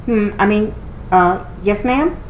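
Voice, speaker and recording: A woman's voice: medium pitch, slow, voice pushed up, close to an everyday voice. A woman voicing a polite young boy with a hesitant manner, in a storybook reading. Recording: roomy echo with clear noise.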